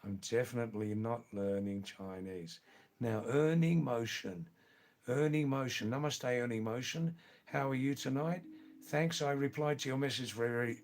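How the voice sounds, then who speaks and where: A man's voice: medium pitch, moderate pace, a little mellow. Chatty older Anglo-Indian man. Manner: rambling, chatty, many fillers and restarts. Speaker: livestream host. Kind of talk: casual live-stream chat reading viewer comments.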